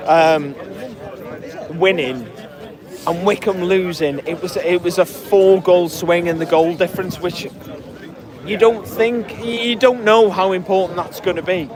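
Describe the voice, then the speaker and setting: A man with a notably high voice hosts a casual fan podcast. His voice is hoarse and keeps cracking. He wanders through his thoughts with plenty of fillers and false starts. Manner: rambling, many fillers and restarts. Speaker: podcast host. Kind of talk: casual post-match fan chat reading comments.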